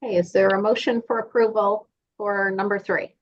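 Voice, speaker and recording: A woman chairing a formal board meeting. She calls votes in brisk, procedural phrases. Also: medium pitch, level tone, slight background noise. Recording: dull, lo-fi sound.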